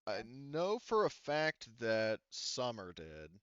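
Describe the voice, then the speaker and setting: A man's voice: medium pitch, wildly swooping pitch, slow, smooth, dry recording, dull audio. A man talking casually and informally, with some swearing, as game master of a tabletop roleplaying game.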